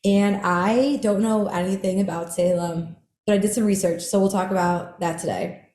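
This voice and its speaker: A young woman hosts a podcast in relaxed chat, giggling often and filling her talk with little "like"s. Her voice is mellow and soft-edged, and the room around her rings with a roomy echo. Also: medium pitch, moderate pace. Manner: giggly, chatty, lots of "like". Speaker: podcast host. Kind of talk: casual chat. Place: in person, indoors.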